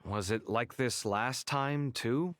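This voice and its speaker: An actor playing a young male scholar in a game cutscene, expressive and quick to protest. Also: mid-range voice, no room sound, faint music behind. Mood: uneasy, puzzled. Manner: animated, exasperated, quick to protest.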